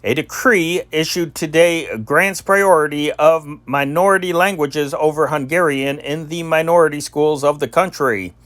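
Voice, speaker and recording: A man reading old newspaper stories as scripted news, in a deliberate announcer-like delivery with occasional stumbles. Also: fairly high pitch, fairly bright tone, no echo. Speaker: amateur newsreader and channel host.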